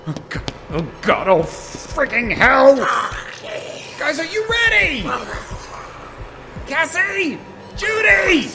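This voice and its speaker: A woman playing an excitable, flustered but plucky young technician in a fan audio drama. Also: high voice, slow, long pauses, noisy background, exaggerated voice. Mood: frantic, shouting.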